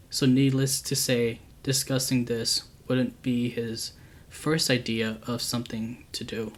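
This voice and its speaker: A man calmly reading true scary stories aloud as a channel host, with a steady, unhurried delivery. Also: mid-range voice.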